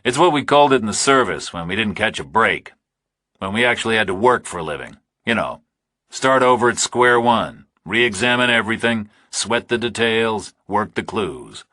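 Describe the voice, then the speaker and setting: A man's voice: lowish voice, animated, normal speed, pushed and bright, no room sound. A man playing a tough ex-military investigator, clipped, dry and determined, in a thriller audiobook.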